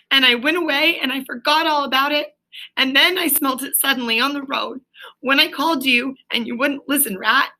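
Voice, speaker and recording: Woman as little male mole, storybook creature, high-pitched, bright, tearful, homesick, pleading. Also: rough recording. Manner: pleading, broken, plaintive. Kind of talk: children's storybook read aloud.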